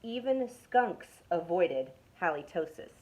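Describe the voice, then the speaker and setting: A young adult woman from a youth club, reading a picture book aloud to children with expressive delivery that drops to a whisper for suspense. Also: fairly low pitch, slow pace, low-quality recording.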